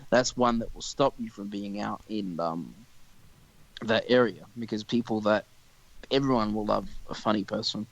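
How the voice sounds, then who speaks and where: A man's voice: fairly low pitch, normal speed, creaky, dry recording, dull audio. A young man hosting a podcast, chatting casually in a rambling way and swearing freely. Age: young adult. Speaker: podcast host. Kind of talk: casual chat.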